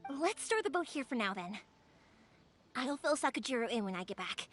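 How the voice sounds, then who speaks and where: An actress playing a cheerful, caring young woman in a video game, chatty, expressive and easygoing. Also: a little high, normal speed, faint music behind. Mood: breezy, confident. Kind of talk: video game character acting.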